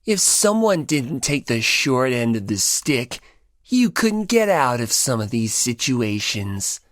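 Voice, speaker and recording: Woman doing teen boy audiobook character, lowish voice, takes his time, casual n a bit awkward, dry close recording. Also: sing-song, slow pace, no echo, exaggerated voice. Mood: casual, hesitant. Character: teenage high school boys, casual, teasing or awkward.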